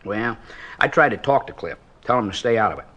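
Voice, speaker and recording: A man's voice: on the low side, lively intonation, fairly quick, fairly bright tone. An American man playing a talkative, blunt working-class witness in a 1950s radio police drama, rambling in a folksy, repetitive way. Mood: earnest, grim. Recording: dull, lo-fi 1950s radio recording.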